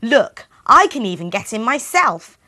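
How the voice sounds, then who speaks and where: A woman's voice: big pitch swings, normal speed, a bit bright, exaggerated voice. A woman voicing a wicked, half-blind old witch who cackles and screeches at children. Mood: scornful. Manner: screeching, shrill.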